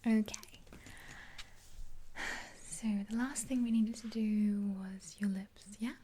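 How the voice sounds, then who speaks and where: A woman in her twenties doing an ASMR roleplay in a gentle, unhurried, breathy whisper, reassuring and close to the mic. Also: medium pitch, steady tone. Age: 20s. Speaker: ASMR performer playing a makeup helper. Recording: hissy close-up with clicks.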